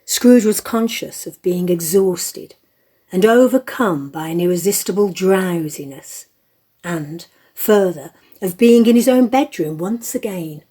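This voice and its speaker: Female narrator, unhurried, slightly low pitch, husky, deliberate storybook reading. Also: warm tone. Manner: deliberate, literary.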